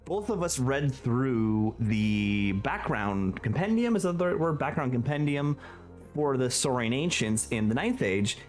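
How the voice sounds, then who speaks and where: A man's voice: mid-range voice, sing-song, measured pace. Casual male gaming host, faint music. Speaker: host of a tabletop gaming show. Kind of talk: casual review chat.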